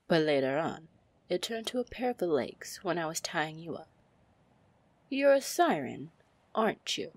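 Slow, seductive pirate captain played by a woman. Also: fairly low pitch, breathy edge, dry recording, exaggerated voice. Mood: probing, sly. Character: seductive, commanding female pirate lord captain. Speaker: roleplay audio performer. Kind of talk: ASMR fantasy roleplay.

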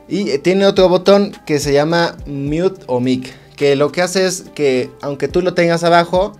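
A man's voice: mid-range voice, a little mellow. A man with a Mexican accent giving a casual, conversational product review to viewers, explaining things informally as a tech vlog host.

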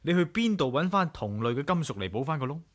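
An actor with a Hong Kong Cantonese accent playing a level-headed, inquisitive adventurer in a 1980s radio drama. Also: male voice, fairly high pitch, big pitch swings, quick pace. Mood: firm.

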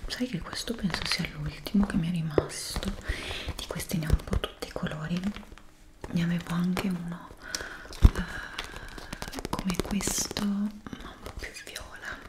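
A woman whispers gently and breathily, unhurried, in an ASMR shop assistant roleplay. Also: fairly low pitch. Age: 20s. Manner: gentle, unhurried, speaking as if to a customer. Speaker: ASMR creator playing a stationery shop assistant.

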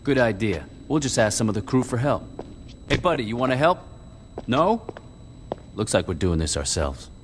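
A man's voice: mid-range voice, sing-song, moderate pace, pauses often, big-room sound, a little hiss or hum, natural voice. A man voicing a tough, blunt, commanding street gang boss in a video game, with terse, profane street talk. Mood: dry, businesslike. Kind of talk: video game cutscene acting.

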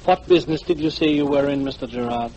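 An actor playing a fat, weary, self-styled guide in a 1940s radio drama, wordy and ingratiatingly polite. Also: male voice, a little high, fast, mellow, muffled recording, lightly acted. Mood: suave, insinuating. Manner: florid, wordy, over-polite. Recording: noisy vintage 1940s radio recording.

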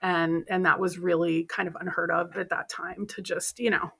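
A woman in her thirties talks casually from a room at home, using an earbud mic on a video call. Her voice is bright and forward. She tells stories in a lively way and acts out the people she quotes. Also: lowish voice, moderate pace, vocal fry, slightly rough. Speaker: podcast co-host. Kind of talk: casual chat. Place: home room with bookshelves and poster. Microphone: earbud headset on video call.

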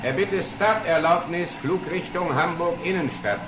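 An actor playing a brisk, breezy police helicopter pilot in a radio play, hearty and commanding. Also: male voice, fairly high pitch, close to an everyday voice. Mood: businesslike. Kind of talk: German children's radio play acting. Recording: echoey, very noisy, vehicle sounds.

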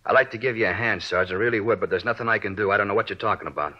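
Man as low-voiced, defensive ex-convict in radio drama. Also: racing, natural voice. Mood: evasive. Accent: American. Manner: slangy, defensive. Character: evasive, aggrieved male ex-convict suspect. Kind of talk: 1950s radio drama acting. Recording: dull vintage radio recording.

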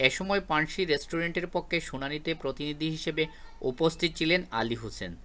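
A man reading a scripted news report as a voice-over in a formal, even newsreader manner. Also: fairly high pitch, quick pace. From Bangladesh. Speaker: news narrator.

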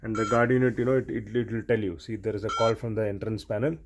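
A man's voice: fairly low pitch, level tone, quick pace, background noise. A man giving hands-on technical training in a step-by-step, repetitive way, as a technician showing how equipment works. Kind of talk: hands-on instructional explanation.

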